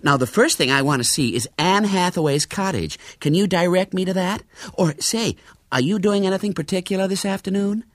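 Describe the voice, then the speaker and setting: Man putting on a high, gushing young American lady. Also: a little fast, no echo, clean studio sound, close to an everyday voice. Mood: eager. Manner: gushing, eager, wide-eyed. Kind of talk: storybook character voice.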